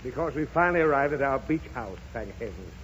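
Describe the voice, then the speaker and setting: Man acting an exasperated, animated radio daddy. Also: medium pitch, fast talker, lightly acted. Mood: weary, irritated. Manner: irritable, emphatic. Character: exasperated, impatient father worn out by his child. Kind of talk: 1930s radio comedy acting. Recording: old 1930s radio broadcast, muffled, hissy.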